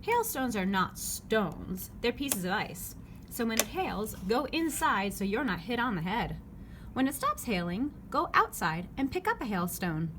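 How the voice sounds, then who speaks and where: A woman reading a children's picture book aloud, phrasing it clearly and simply for young listeners. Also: a little high, sing-song, a little slow. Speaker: read-aloud narrator. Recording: clearly noisy background ambience.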